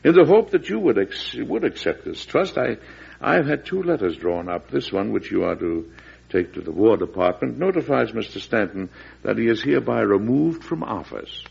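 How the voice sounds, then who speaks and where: A proud, righteous President with a low, gravelly voice. He speaks with stately emphasis and wide swings of pitch, in the style of old radio drama on a dull vintage broadcast. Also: animated, moderate pace, darkish tone, heavily acted. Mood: formal, commanding. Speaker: host and lead actor of a radio drama.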